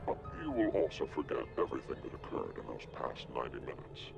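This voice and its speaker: A sinister death-game mastermind from a video game. The voice is very low, swooping theatrically in pitch while staying composed and deliberate. Music plays clearly behind it. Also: male voice, animated, dull audio, exaggerated voice. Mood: commanding, detached. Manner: measured, deliberate, ominous storytelling. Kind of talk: video game villain monologue.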